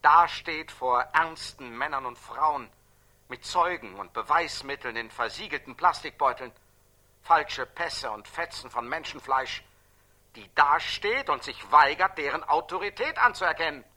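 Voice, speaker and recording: Sharp, insistent male interrogator. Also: on the high side, animated, measured pace, full character voice. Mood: incredulous. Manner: rhetorical questioning, emphatic, precisely articulated. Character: indignant, insistent male interrogator probing a terrorist's case. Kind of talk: stylized radio drama dialogue.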